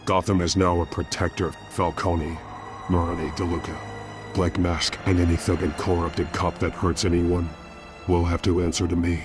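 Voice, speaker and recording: A man playing a grim, resolute masked vigilante, narrating a journal in terse, deadpan sentences. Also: low-pitched, moderate pace, over music, close to an everyday voice. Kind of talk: first-person journal narration.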